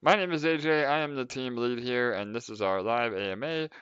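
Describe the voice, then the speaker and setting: A man's voice: medium pitch, fairly quick, a bit bright, clean tone, no room sound. Man, host, casual livestream Q&A, lots of "uh"s, dry slightly muffled audio. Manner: chatty, many filler "uh"s. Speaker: team lead and host.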